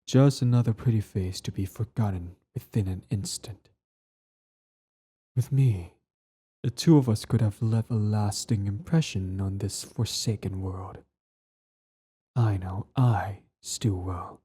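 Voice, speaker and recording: A man acting a cold, cruel young prince in an ASMR roleplay, condescending, menacing and deliberate, slipping toward soft whispers. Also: lowish voice, slightly rough. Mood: disdainful, wistful. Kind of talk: ASMR roleplay drama, scripted monologue.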